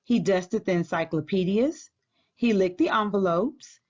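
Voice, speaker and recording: A woman reading a children's storybook aloud as a teacher would, clearly, deliberately and expressively. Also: mid-range voice, darkish tone, muffled recording.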